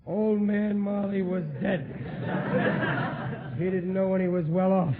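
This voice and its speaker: A man's voice: high voice, flat delivery, unhurried, over a noisy crowd. An actor playing a blustery, good-hearted, gullible American working-class family man with uneducated diction, in 1940s radio comedy style. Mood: blustery, jovial. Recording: scratchy, noisy, narrowband 1940s radio recording.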